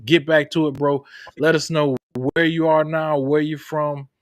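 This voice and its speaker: Host: loose, profane man on a video call, dry close sound, slightly high voice. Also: flat delivery, a little slow, no room sound. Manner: profane, apologetic, loose and joking. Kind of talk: casual interview chat over a video call. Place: home setup in Florida.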